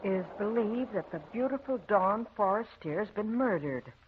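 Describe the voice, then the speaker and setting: A woman's voice: medium pitch, expressive, normal speed, noisy background, lightly acted. An actress playing a simple, folksy woman sharing newspaper gossip in an old radio drama. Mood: intrigued. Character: simple, gossipy woman Clara reading the paper aloud. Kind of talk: 1940s radio drama acting. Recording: tinny, phone-like old radio recording.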